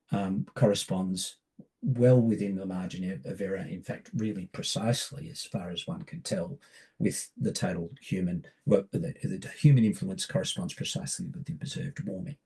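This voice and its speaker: Croaky man with a cold, lecturing. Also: sits low, warm tone, poor audio. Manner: measured, hesitant with many ums. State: hoarse from a cold. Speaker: barrister giving a public talk. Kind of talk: public lecture.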